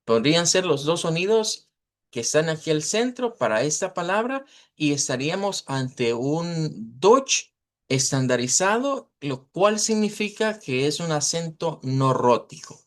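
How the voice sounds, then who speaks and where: A man with a Latin American Spanish accent giving an informal language lesson, explaining pronunciation deliberately and often checking understanding with short tag questions. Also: a little high, big pitch swings. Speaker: language teacher.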